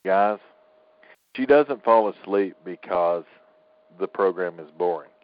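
A man's voice: low voice, slow pace, no echo. A man hosting a casual talk show, bantering in a teasing, opinionated way. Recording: dull lo-fi audio.

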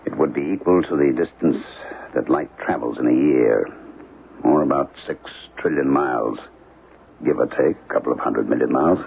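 An actor in a 1970s radio drama playing a troubled, haunted middle-aged professor, brooding, halting and terse. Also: male voice, rumbling low, vocal fry, a little hiss or hum. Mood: grave, distant. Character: troubled, haunted archaeology professor, married man with grown children. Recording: tinny, narrow-band old radio broadcast.

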